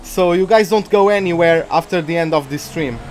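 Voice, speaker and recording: High-voiced man with a Portuguese accent. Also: brisk, darkish tone, a little hiss or hum. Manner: chatty, rambling, easily distracted. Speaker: gaming streamer. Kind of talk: live commentary while playing a racing game.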